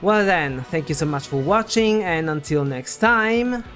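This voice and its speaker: A man's voice: a little high, lively intonation, unhurried, fairly bright tone. A man presenting scripted game theory commentary in a conversational, explanatory way, as the host of a video essay. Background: background music.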